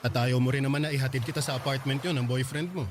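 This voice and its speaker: A man acting as a calm young man in a radio drama, patiently explaining and reassuring. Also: medium pitch, quick pace, over music, close to an everyday voice.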